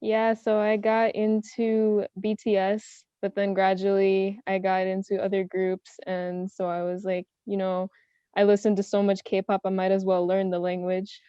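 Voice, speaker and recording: A young woman answering questions as a panelist in a casual online round-table, soft-spoken and modest. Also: mid-range voice, completely flat delivery, unhurried, clean tone, dry recording, lo-fi sound. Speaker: panelist, self-taught language learner.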